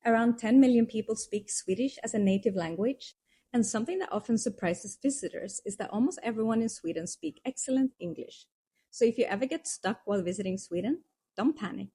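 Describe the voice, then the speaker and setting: A woman with a husky, mellow voice reads scripted lesson narration. She sounds calm and reassuring and explains each point step by step. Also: a little high, fairly quick. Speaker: course narrator.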